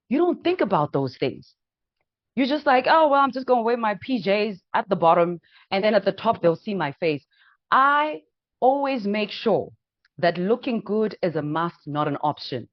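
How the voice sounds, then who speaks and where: A woman's voice: mid-range voice, no room sound, muffled recording. A woman, a personal branding coach giving a motivational talk, emphatic and building her points through lists and rhetorical questions.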